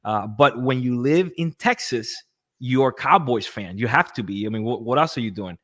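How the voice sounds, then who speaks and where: Conversational man with a mid-pitched voice. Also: lively intonation, moderate pace, a bit bright. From Puerto Rico. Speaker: podcast guest, real estate agent and pastor. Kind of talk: casual conversational storytelling.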